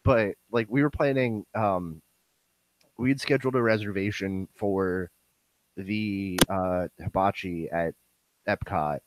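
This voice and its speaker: A young man hosting a casual podcast with a hoarse, worn-out voice that sounds nasal and bassy, rambling with lots of fillers. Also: slow, low-quality recording. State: hoarse, voice going. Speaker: podcast host.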